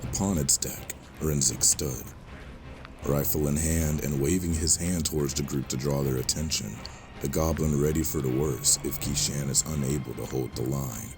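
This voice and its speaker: A man's voice: extremely low pitch, fairly even intonation, moderate pace, over music. A man giving scripted, dramatic narration as the storyteller of an audio drama.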